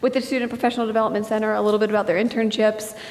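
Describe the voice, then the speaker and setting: A woman moderates a panel, drawing out each speaker with encouraging questions. She talks quickly at a middle pitch. Her voice carries a long reverb, as in a large hall. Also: little pitch movement, a little mellow.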